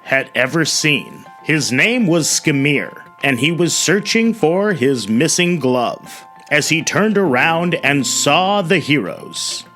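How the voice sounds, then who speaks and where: A man's voice: a little high, sharp tone. A man hosting a story show, telling tales with dramatic phrasing and casual, conversational asides. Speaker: host and storyteller.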